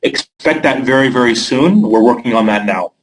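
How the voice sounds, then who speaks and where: A man's voice: mid-range voice, moderate pace, low-quality recording. A young man from Canada with a Canadian accent hosting a live trading webinar, reading out viewer questions by name and answering them casually with filler words.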